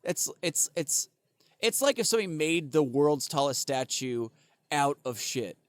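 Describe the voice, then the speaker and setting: A man with a fairly high voice riffs loosely as a podcast co-host. He swears freely, laughs a lot and piles up fillers, recorded dry and close. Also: big pitch swings, unhurried, no echo. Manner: rambling, profane, laughs often, lots of fillers. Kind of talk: casual chat.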